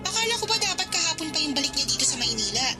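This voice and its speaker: An actress playing a chatty, supportive young best friend in a Filipino radio drama, speaking with a Filipino accent in an animated, advising way. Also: female voice, sits high, rapid-fire. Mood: chatty, friendly. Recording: loud music bed behind voice.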